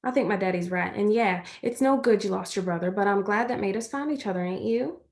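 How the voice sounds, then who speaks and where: A woman's voice: mid-range voice, a little fast, a little mellow, close to an everyday voice. An actress playing a loyal, reassuring young country woman in a stage play reading, plain-spoken and earnest. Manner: folksy, earnest, reasoning things out.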